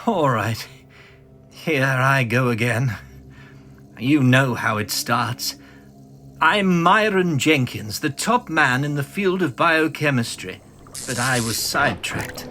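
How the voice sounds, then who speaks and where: An actor playing a small, bitter, frantic mad professor in a vintage comic audio drama, agitated, halting and melodramatic. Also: male voice, mid-range voice, pushed and bright, a little hiss or hum.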